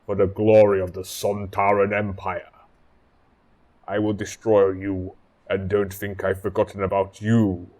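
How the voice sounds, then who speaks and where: A man's voice: deep voice, monotone, measured pace, darkish tone. An actor playing a proud, vengeful alien warrior general in an audio drama, pompous and threatening in his declamation.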